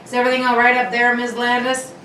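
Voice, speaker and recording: A woman's voice: on the high side, completely flat delivery, fast talker, pushed and bright. A woman playing a fussy, dismissive male building superintendent with colloquial diction in an old-time radio play. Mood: reassuring. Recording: roomy, distant miking with faint noise.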